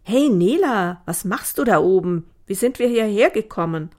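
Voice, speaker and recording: Woman as an astonished, loud, slangy boy. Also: mid-range voice, expressive, normal speed, close to an everyday voice. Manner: blurting, slangy. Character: Jannis, astonished dark-haired boy, slangy best friend.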